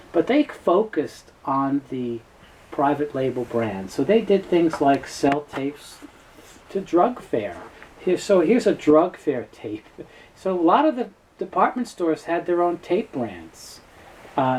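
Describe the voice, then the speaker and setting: A man presenting an informal explainer in a halting, conversational way, repeating words as he goes. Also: a little high, darkish tone, a little hiss or hum, poor audio. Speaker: presenter and tape seller. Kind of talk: informal explainer talk on video.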